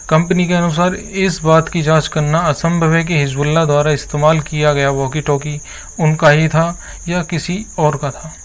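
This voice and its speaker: A man presenting scripted news as a narrator, with a formal, earnest delivery. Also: a little high.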